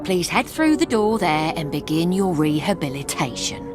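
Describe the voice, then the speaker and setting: Casting: a stiff, officious female clerk, slightly low voice, formal phrasing under loud music. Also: big pitch swings, normal speed. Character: rigid, bureaucratic female rehabilitation centre receptionist.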